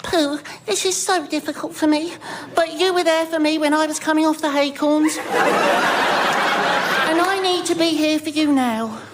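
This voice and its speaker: A woman's voice: sits high, a little slow. A woman voicing a small, anxious and earnest storybook pig, pleading with pained sincerity in a radio comedy sketch. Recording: very noisy radio comedy recording.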